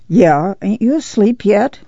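A woman plays a tired but firm farm mother in an audiobook. The voice is mellow and creaky, plain and no-nonsense. The sound is dry and slightly dull. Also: medium pitch, animated, measured pace, no room sound. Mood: hushing.

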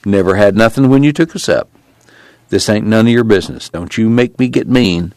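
A man's voice: on the low side, fairly quick, darkish tone, dry recording, lightly acted. A man acting a weary, kindly farm migrant with drawl. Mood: firm, kind. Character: weary, worried poor migrant man, stubbornly kind.

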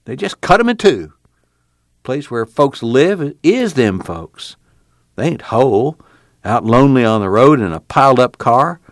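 A man's voice: medium pitch, sing-song, unhurried, no echo, clean studio sound, pitched above the performer's own voice. A man voicing a lonely, bitter older farmer who talks in a raw, pleading way that rises to anger.

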